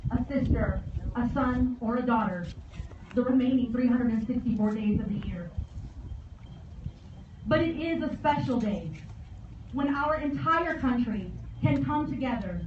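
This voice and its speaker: An American woman in her forties delivers a measured, solemn memorial address. Her voice is high and comes from far off with strong echo, as over an outdoor PA. The phrasing is formal and deliberate. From San Antonio, Texas, United States. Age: 40s. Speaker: guest speaker, veterans service officer. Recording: echoey outdoor PA from afar, dull, faint noise.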